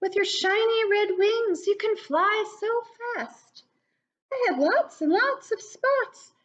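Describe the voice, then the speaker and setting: A bubbly picture-book caterpillar voiced by a woman offers sweet encouragement. She is very high and lively in pitch, heard through a slightly dull recording. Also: takes it slowly, exaggerated voice. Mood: encouraging. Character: cheerful generous female caterpillar, sweet and encouraging. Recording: dull, lo-fi webcam sound.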